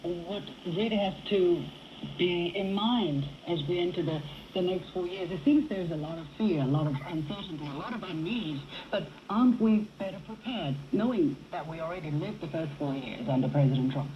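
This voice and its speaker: A woman's voice: fairly low pitch, moderate pace, muffled recording. A woman hosting a current affairs discussion, heard over a shortwave radio broadcast. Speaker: talk show host. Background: shortwave radio noise.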